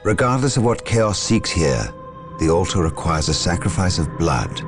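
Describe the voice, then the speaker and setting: Extremely deep-voiced, solemn warrior scholar. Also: male voice, very animated, music in the background. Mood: solemn, studious. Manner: measured, solemn, formal. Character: solemn, proud male warrior scholar of space marines.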